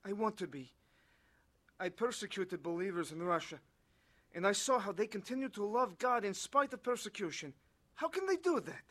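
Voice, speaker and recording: A man's voice: high voice, normal speed, bright, forceful tone. An actor playing an earnest, haunted young Russian defector who tells his story in a dramatized testimony. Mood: yearning. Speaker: first-person narrator of a dramatized testimony. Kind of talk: dramatized scripted narration.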